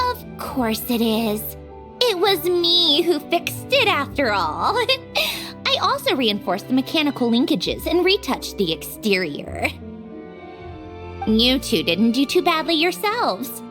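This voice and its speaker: A proud, haughty young woman talks in a fairly high voice with sweeping, expressive intonation. She sounds lofty and sure of herself in anime game style, over faint music. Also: slow, exaggerated voice. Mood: smug. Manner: self-assured, lofty. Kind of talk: English anime game dub acting.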